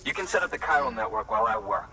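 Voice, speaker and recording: A man's voice: on the low side, lively intonation, racing, lightly acted. A man playing a friendly, weary field scientist in a video game, speaking with wry gratitude. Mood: wry, impressed. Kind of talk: video game character dialogue. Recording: distant, noisy ambience.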